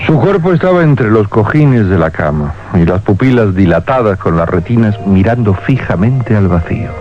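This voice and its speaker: A man's voice: lowish voice, very animated, normal speed. A man narrating an eerie radio drama as a dramatic storyteller of midnight tales. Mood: ominous. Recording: dull radio recording, music behind.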